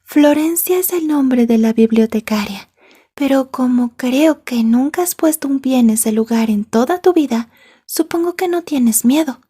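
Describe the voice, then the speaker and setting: A woman playing a possessive, jealous yandere schoolgirl in an ASMR roleplay, soft and breathy, taunting and slipping into whispers. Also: sits high, unhurried. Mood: sinister, taunting.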